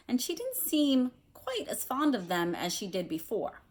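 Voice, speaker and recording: A woman speaking as a teacher doing a read-aloud of a children's novel, explaining things in a careful, measured way. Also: a little high. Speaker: teacher reading aloud to students.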